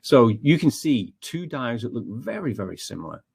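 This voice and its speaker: A man's voice: mid-range voice, big pitch swings, normal speed, vocal fry, a little mellow, slightly rough. A man with a Scottish accent giving a technical webinar, explaining step by step with frequent ums and checking in with "okay?". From Scotland. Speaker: technical trainer.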